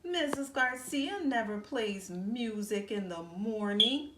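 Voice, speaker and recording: Woman acting a high-pitched, whiny little schoolboy. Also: expressive, slow. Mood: complaining. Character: upset young schoolboy resisting change, whiny and earnest. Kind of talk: children's storybook read-aloud. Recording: roomy echo, faint background noise.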